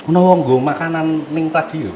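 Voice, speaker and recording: A man's voice: fairly high pitch, normal speed. A man who works as a radio announcer, chatting casually and jokingly, mixing in words. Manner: animated, joking, mixes in Javanese words. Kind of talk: casual podcast chat. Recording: noisy room ambience.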